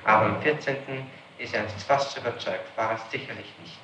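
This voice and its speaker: A man's voice: fairly low pitch, a little fast, pushed and bright. Pressing male prosecutor on a noisy old tape. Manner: pressing, official, methodical. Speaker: prosecutor interrogating a suspect. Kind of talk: formal interrogation. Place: echoey room, archival interrogation tape. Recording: noisy, roomy archival 1960s tape recording.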